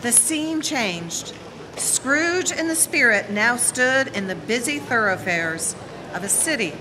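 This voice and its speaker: A woman's voice: a little high, lively intonation, takes it slowly. A woman narrating a scripted dramatic reading as a storyteller. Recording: reverberant hall, faint noise.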